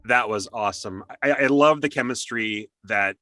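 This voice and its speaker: A man's voice: lowish voice, moderate pace, sharp tone. A middle-aged man hosting an interview in a casual, conversational style. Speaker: interview host.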